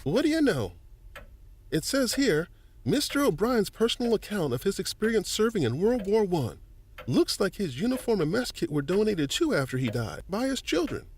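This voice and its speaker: Animated, high-voiced folksy museum volunteer. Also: male voice, moderate pace, a little hiss or hum. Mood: intrigued, chatty. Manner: chatty, explanatory, avuncular. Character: friendly, folksy older male museum volunteer and newspaper editor. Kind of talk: family audio drama acting.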